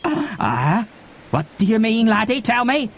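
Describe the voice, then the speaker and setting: A man's voice: sits high, very animated, slow pace, creaky, slightly rough, exaggerated voice. A man voicing a theatrical, blustering sea captain in a dramatised children's audiobook. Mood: urgent coaxing. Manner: melodramatic, archaic seafaring talk.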